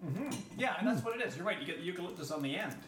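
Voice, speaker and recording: Man, higher pitch, podcast co-host, teasing casual chat, echoey room. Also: lively intonation, rapid-fire, fairly bright tone, slight background noise. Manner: joking, teasing. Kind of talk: casual banter between friends. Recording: roomy, echoing sound.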